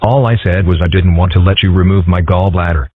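A man voicing young animal boys in a cartoon comic dub, matter-of-fact and deadpan in delivery. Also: low-pitched, a little fast, breathy edge. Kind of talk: scripted comic-strip dub. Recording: dry, muffled phone-like audio.

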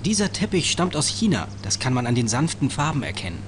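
A man's voice: medium pitch, very animated, fast, natural voice. A man playing a self-assured teenage boy detective in an adventure game, speaking in knowing, declarative lines. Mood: observant, wry. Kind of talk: scripted point-and-click game dialogue. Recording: game audio with clear noise.

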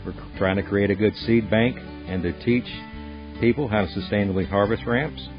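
Low-voiced American man over guitar music. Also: normal speed. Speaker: farm owner and tour guide. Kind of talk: narration of a farm tour. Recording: dull lo-fi sound, guitar music behind. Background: acoustic guitar music.

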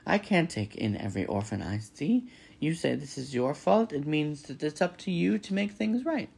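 A man voicing an old gray female goose in a storybook, brisk, scolding and bossy, with indignant, emphatic squawking. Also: a little high, sing-song, gravelly, a little mellow, slightly rough, voice pushed up, exaggerated voice. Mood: brusque, indignant.

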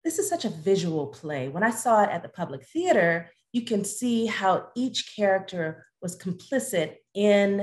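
A woman's voice: medium pitch, sing-song, moderate pace, few pauses, darkish tone. A middle-aged woman hosting a conversational interview over a video call from her home. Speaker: interview host, theatre director. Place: large living room at home on a video call.

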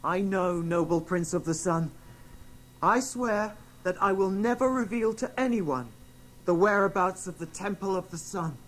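A man's voice: high voice, unhurried, fairly bright tone, a little hiss or hum, rough recording. An actor playing a brave, earnest, courteous young boy adventurer in a radio drama. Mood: courteous, pleading.

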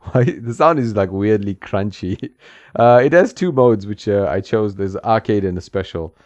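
Man, deep voice, casual streamer chatter, frequent ums, dry muffled home mic. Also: animated, measured pace, darkish tone, no room sound. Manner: rambling, thinks aloud with many ums and small laughs. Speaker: retro game streamer. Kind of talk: casual gameplay commentary. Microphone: desk mic.

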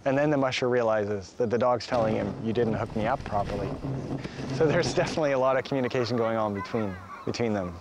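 A man in an interview, explaining in a relaxed, plain way. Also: mid-range voice, quick pace, noisy background. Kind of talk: casual interview.

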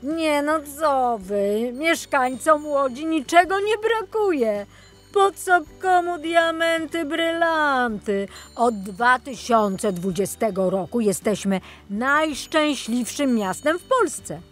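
A woman's voice: high voice, expressive, takes it slowly, a bit bright, soft background music, voice pushed up, full character voice. A woman voicing a jolly, absent-minded older male tour guide in a children's storybook, rambling and enthusiastic. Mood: amused, cheerful.

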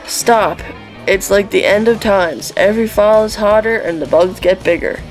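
A woman's voice: medium pitch, moderate pace, faint music behind, lightly acted, low-quality recording. Woman as chatty, wry diner waitress. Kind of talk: audio drama acting, folksy small talk.

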